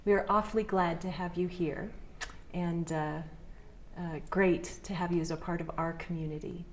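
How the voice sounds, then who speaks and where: Local TV host, woman, lowish voice, sympathetic and encouraging. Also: steady tone, moderate pace, slight background noise. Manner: warm, sympathetic questioning. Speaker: interviewer host. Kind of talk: local TV interview. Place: school, indoors.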